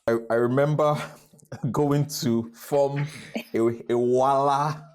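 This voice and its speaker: A man, a voice actor, chatting casually on a panel, halting and laughing as he talks. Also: mid-range voice, fairly even intonation, a little mellow, clear, resonant tone. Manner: halting, laughing while talking.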